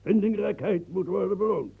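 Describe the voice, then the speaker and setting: A man's voice: high-pitched, moderate pace, vocal fry, warm tone, breathy edge, exaggerated voice, rough recording. An actor playing an aging, boastful, womanizing old nobleman who rambles, chuckles, coughs and stammers in a radio play. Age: older.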